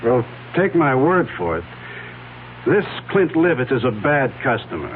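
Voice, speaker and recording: An actor playing a blunt, skeptical district attorney who speaks in a sarcastic, pointed way, in a 1970s radio drama. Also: male voice, medium pitch, very animated, unhurried. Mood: stern. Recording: tinny lo-fi old radio broadcast with faint hiss.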